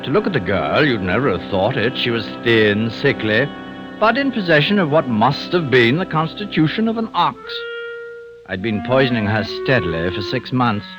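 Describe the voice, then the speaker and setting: An actor playing a refined, coldly cynical doctor with a secret, fussy and sardonic, in an old-time radio drama. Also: male voice, mid-range voice, big pitch swings, normal speed, close to an everyday voice. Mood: smug, cold. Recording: muffled vintage radio recording with music.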